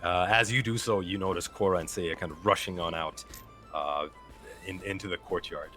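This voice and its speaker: Deep-voiced man narrating as game master. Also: moderate pace, soft background music. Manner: relaxed, filler-heavy narration.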